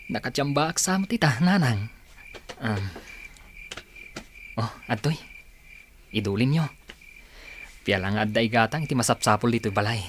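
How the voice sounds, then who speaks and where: A man's voice: medium pitch, lively intonation, moderate pace, long pauses, slight background noise, natural voice. A man with a Filipino accent playing a dutiful, humble son with big dreams in a radio drama, speaking earnestly and respectfully. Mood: subdued, dutiful.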